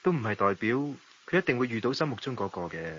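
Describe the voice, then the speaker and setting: An actor playing a suave, romantic bachelor, measured and indulgent, in a Hong Kong Cantonese radio drama. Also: male voice, mid-range voice, big pitch swings, fairly bright tone, breathy edge, highs cut off. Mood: musing. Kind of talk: radio drama dialogue and reflective narration.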